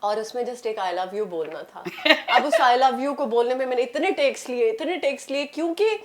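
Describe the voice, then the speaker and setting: High-voiced Pakistani woman chatting. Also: expressive, normal speed. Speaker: talk show guest, radio presenter and voice artist. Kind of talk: casual conversational talk.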